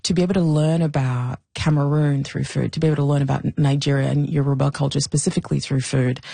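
A woman's voice: low-pitched, fairly even intonation, fast, gravelly, warm tone, dry recording. A woman from Zambia, a documentary maker and historian, answering interview questions in a conversational way.

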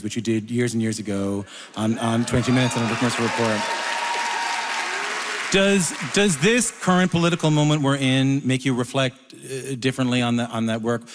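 A man interviewing conversationally, halting and stammering as he works toward each question. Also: medium pitch. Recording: reverberant hall, some crowd sound.

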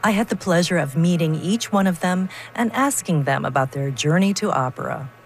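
A woman hosting a radio broadcast, reading scripted announcements in a polished, measured way. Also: lowish voice, expressive, slight background noise.

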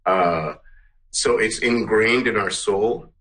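A man's voice: lowish voice, slow, fairly bright tone, low-quality recording. A middle-aged man, a musician answering interview questions conversationally, feeling for his words and repeating phrases for emphasis. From Canada, Guyana-born. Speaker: musician and song producer, interview guest.

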